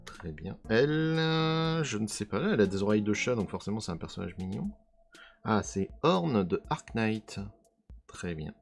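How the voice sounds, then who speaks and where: A man with a hoarse voice casually commenting as he unboxes trading cards, often trailing off while he tries to recall names. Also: on the low side, very animated, normal speed, faint music behind. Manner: musing, trails off hunting for names. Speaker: card unboxing video host.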